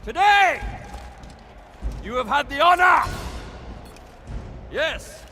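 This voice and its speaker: An actor playing a boastful Roman tyrant general in a video game, declaiming pompously like an orator. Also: male voice, extremely high pitch, very animated, extremely slow, noisy background, full character voice. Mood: grandiose, gloating. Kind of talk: dramatic game villain acting.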